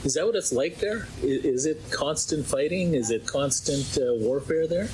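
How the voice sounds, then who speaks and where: A man's voice: on the high side, expressive, normal speed, darkish tone, slight background noise, rough recording. A man interviewing a guest, asking direct, probing questions.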